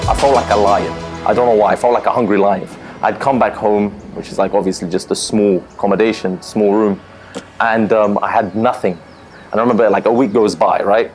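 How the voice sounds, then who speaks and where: Casting note: young streamer, low voice, candid and animated, music underneath. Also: male voice, brisk. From Lebanon. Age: young adult. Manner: animated, candid, lots of fillers. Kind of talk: personal interview storytelling.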